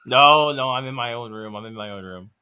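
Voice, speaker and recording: A man's voice: mid-range voice, a little fast, pushed and bright, slight background noise. A young man from the United States calling into a show, laid-back and giggly, using casual slang. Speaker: guest caller. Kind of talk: casual chat on a call.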